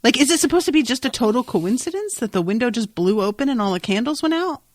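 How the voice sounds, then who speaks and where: A woman's voice: a little high, fast, no room sound, clean studio sound. A woman hosting a podcast, casually recapping a TV episode in a wry, sweary way and acting out bits of dialogue. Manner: wry, sweary, animated retelling with acted-out quotes. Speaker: podcast host.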